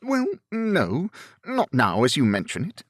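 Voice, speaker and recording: Man voicing an excitable, high-swooping young gentleman. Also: a little slow, dry recording, studio quality, heavily acted. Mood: cheerful rambling. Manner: chatty, dithering, excitable. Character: amiable, fussy, rambling young English gentleman.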